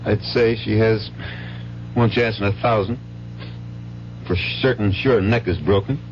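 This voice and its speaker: Earnest young intern, muffled radio. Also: male voice, on the low side, measured pace, a little hiss or hum. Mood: grave, clinical. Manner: grave, earnest. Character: earnest, worried young male hospital intern. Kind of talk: 1970s radio drama acting. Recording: muffled vintage radio broadcast.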